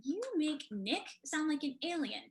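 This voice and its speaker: Actress as grown indian american daughter, really high voice, pleading and stubborn, drama reading. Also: female voice, lively intonation, normal speed. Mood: defiant, pleading. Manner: emotional, argumentative. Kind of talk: staged reading over video call.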